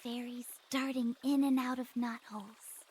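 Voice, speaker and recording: Girl voice actress doing dreamy awkward young woman, high slow hesitant voice, visual novel, noisy ambience behind. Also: steady tone, natural voice. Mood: dreamy, wistful. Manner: hesitant, soft-spoken, musing. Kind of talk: visual novel voice acting, inner monologue and dialogue.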